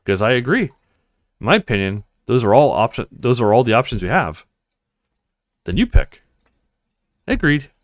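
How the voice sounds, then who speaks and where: Male let's play host, mid-pitched and expressive, reading game dialogue aloud with occasional stumbles, dry recording. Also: normal speed, pauses often, no echo.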